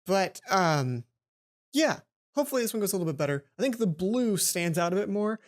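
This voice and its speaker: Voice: medium pitch, very animated, brisk. A let's play host commentating casually on a video game, rambling and breaking off into asides. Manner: rambling, self-interrupting asides.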